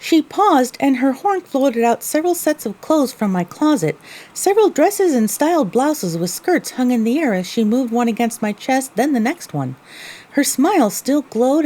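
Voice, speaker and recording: A woman voices a young pony mare telling her own story in a scripted audio drama. Her voice is soft and husky with a mellow tone, wistful and animated in its melody. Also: fairly high pitch, moderate pace. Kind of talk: first-person scripted narration, audio drama.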